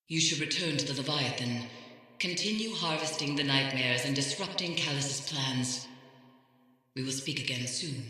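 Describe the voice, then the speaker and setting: A woman's voice: low voice, pushed and bright. A woman voicing a grave, mystical video game character who battles nightmares, speaking in a solemn, ominous and formal way. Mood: solemn, instructive. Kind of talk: dramatic video game dialogue. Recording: echoey with long hall reverb.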